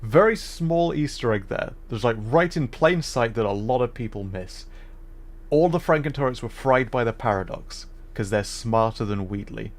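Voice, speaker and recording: A man's voice: mid-range voice, lively intonation, moderate pace. A man giving casual, informal commentary on a gaming livestream, chatting to viewers.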